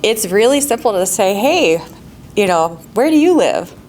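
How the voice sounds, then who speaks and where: A woman's voice: medium pitch, big pitch swings, normal speed, a little mellow, slight background noise. Upbeat woman giving practical advice. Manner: upbeat and practical, laughs often, asks the audience. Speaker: real estate agent on a panel. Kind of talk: panel discussion, giving advice.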